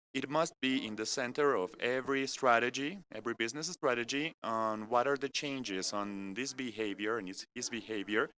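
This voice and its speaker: A man from France with a French accent, speaking in English as he gives a measured, earnest business presentation that moves point by point through an agenda. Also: medium pitch, bright, forceful tone. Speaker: corporate keynote speaker. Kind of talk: live-streamed business presentation. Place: company innovation center studio.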